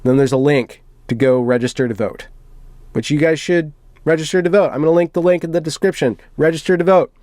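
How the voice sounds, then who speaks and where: Higher-pitched man doing wry internet commentary. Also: fairly quick, darkish tone, dry recording, studio quality. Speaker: video commentator and host. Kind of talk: commentary on internet culture, reading out a post and reacting.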